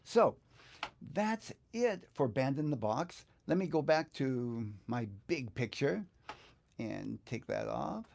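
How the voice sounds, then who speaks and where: A man's voice: medium pitch, big pitch swings, slow pace, vocal fry, darkish tone. An older man giving a casual live online tutorial as a teacher, thinking aloud informally in an indoor studio. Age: older, 50s to 60s. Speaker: guitar teacher hosting a live online session.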